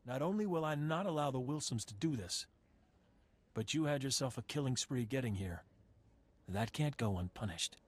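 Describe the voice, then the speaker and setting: An actor playing a righteous, principled Jedi master in a video game, grave and formal with a husky voice. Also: male voice, medium pitch, lively intonation, normal speed, natural voice. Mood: stern. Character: stern, righteous male Jedi master guarding peace. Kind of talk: video game dialogue acting.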